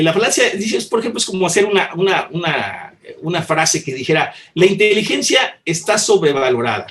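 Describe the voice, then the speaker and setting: Earnest man making his case. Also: fairly high pitch. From Mexico. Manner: earnest, repeats and restarts, explains with everyday examples. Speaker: panelist. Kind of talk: conference talk.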